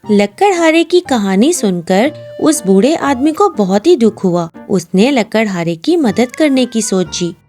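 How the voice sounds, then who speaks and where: A woman's voice: on the high side, sing-song, unhurried, hardly any pauses, darkish tone. A woman reading scripted storybook narration as the narrator, with music behind her.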